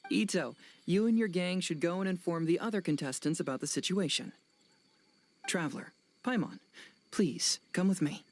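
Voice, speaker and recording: A man voicing a composed, courteous young detective in a video game, reasoning aloud in measured, deliberate phrases. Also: high-pitched, natural voice. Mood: calm, firm. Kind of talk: video game cutscene acting.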